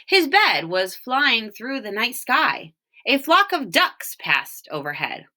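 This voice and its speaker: A woman reads a storybook aloud for children. Her voice is bright and her pitch moves in lively swings. She takes her time, leaning expressively on key words. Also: slow. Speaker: storybook reader, teacher reading for home learning.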